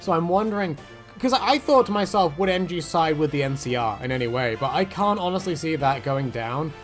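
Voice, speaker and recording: A man's voice: fairly high pitch, lively intonation, fairly quick, soft background music. A man giving a casual, rambling video commentary in a chatty way, often correcting himself and wandering off on tangents. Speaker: gaming video creator.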